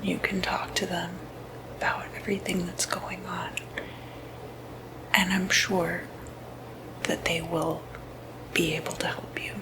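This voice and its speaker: A woman speaking in a breathy whisper, softly reassuring and hesitant, in an ASMR medical roleplay as clinic staff. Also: lowish voice, little pitch movement, slow, long pauses, poor audio. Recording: noticeable background noise, ambient sound.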